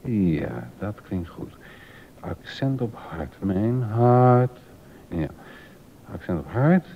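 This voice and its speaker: An actor playing an absorbed middle-aged male composer in a drama, musing haltingly and correcting himself as he thinks aloud. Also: big pitch swings, gravelly, full character voice. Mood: pensive, working. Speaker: actor in a docudrama. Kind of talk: Dutch radio drama acting, thinking aloud. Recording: dull lo-fi sound with rumbling noise.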